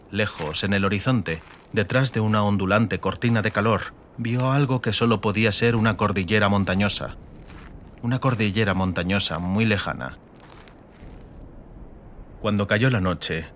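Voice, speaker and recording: A man reading scripted dramatic audiobook narration in a measured, literary manner. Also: on the low side, fairly bright tone. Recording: faint ambient noise behind.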